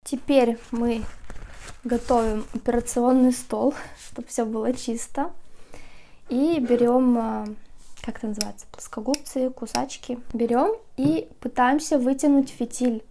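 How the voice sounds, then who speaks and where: Young woman, casual vlogger; sleepy morning voice, high-ish and mellow, chatty with soft laughs. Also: fairly even intonation, unhurried, a little hiss or hum. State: just woken, slightly rough voice. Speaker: candle maker vlogger. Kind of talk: casual how-to vlog.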